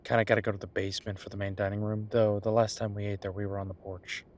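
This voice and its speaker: A man playing an easygoing chef in an audio roleplay, talking in a casual, musing, explanatory way to his partner, with a slightly husky voice. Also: lowish voice, little pitch movement, quick pace, slight background noise, lightly acted. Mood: fond, reminiscing. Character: easygoing male chef planning restaurant business with his partner. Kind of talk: one-sided roleplay acting, casual chat.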